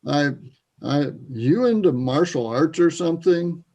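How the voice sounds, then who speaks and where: A man's voice: a little high, expressive, a little slow, darkish tone. A man playing a drunk, belligerent bodybuilder bully in a readers theater piece, taunting and confrontational. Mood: dismissive. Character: drunk, belligerent, self-loathing bodybuilder bully in a small town. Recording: dull, muffled sound.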